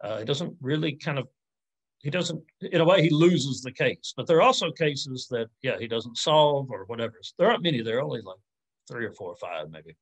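Male academic, forties, mid-pitched voice. Informal Q&A delivery, hesitant, reworks sentences as he goes. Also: lively intonation, a little fast, slightly rough, rough recording. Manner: hesitant, thinking aloud, often rephrasing mid-sentence. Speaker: academic lecturer answering audience questions. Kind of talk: informal literary Q&A talk. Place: indoors on a video call.

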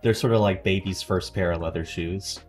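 A man's voice: sits low, steady tone, moderate pace, a little mellow, soft background music. A man in his forties hosting a podcast interview from a home office over a video call, asking curious, conversational questions into a headset mic. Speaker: podcast host. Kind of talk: casual interview.

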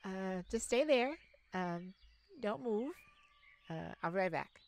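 A woman's voice: mid-range voice, lively intonation, slow pace, a little mellow. A woman playing a silly, affectionate, giggly and bashful young boyfriend in an ASMR romance roleplay. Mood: flustered. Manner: giggly, bashful, hesitant, gushing. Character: silly, playful, affectionate young boyfriend surprising his partner. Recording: clearly noisy, ambient sounds behind.

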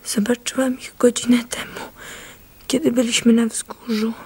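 An actress playing an anxious, devoted young wife in flight in a radio drama, pleading and often whispering. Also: female voice, a little high, flat delivery, moderate pace, a little mellow, husky. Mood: hushed dread. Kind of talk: Polish radio drama acting. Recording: radio drama with music behind.

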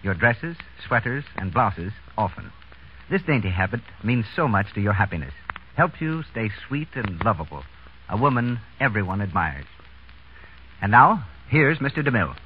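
A man's voice: on the low side, sing-song, normal speed, slight background noise. A man announcing in scripted 1930s radio style as a program announcer. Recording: muffled 1930s radio broadcast.

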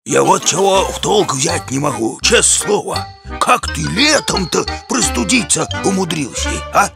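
Gravelly, hearty older cartoon bear. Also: male voice, high-pitched, expressive, a little slow, heavily acted. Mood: puzzled, boastful. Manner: folksy, blustery. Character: hearty, folksy, bossy older male bear, a gardener. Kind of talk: children's cartoon voice acting. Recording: music behind the voice.

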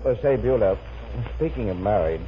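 A man's voice: lowish voice, lively intonation, normal speed, close to an everyday voice. A man playing an affable, slightly bumbling husband in a 1950s radio sitcom, genial and a little hesitant. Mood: chatty. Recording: muffled, noisy 1950s radio recording.

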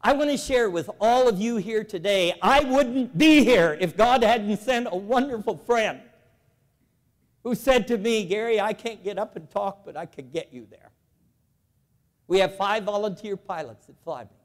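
A man in his seventies giving a lively, folksy, emphatic storytelling speech to a church convention, walking the floor with a lapel mic. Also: sits high, big-room sound. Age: 70s. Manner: animated, folksy, emphatic repetition. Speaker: convention speaker. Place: large convention hall.

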